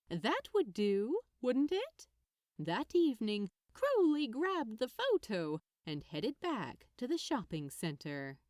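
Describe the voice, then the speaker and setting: A woman narrates a story with lively, swooping intonation. Her voice sits a little high and she takes her time, in a very dry recording. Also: no echo. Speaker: fan fiction narrator. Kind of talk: expressive scripted story narration.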